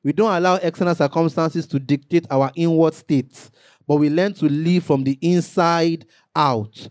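A man's voice: on the high side, steady tone, normal speed, a little mellow, dry recording, clean studio sound. A man, a pastor preaching a sermon, rising into emphatic bursts and acting out little quotes.

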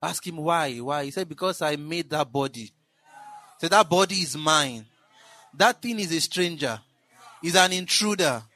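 A man preaching in church, building into shouted exclamations. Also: fairly high pitch, lively intonation, moderate pace. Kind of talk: sermon.

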